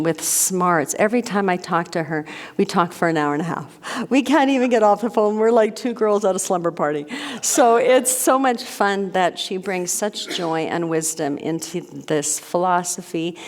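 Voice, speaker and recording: Low-voiced woman minister in a reverberant sanctuary. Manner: warm, gushing, rambling with repeated "so". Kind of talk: sermon welcome and announcements. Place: church sanctuary.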